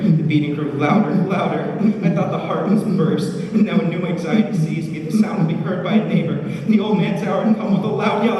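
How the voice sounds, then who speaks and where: A man's voice: on the high side, dark tone, natural voice. A young man playing an obsessive, nervous murderer in an intense, theatrical gothic monologue. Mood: tense dread, then frenzied. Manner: intense, dramatic. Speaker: high school drama student. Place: school stage performance, far mic. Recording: very echoey hall from far off, noisy.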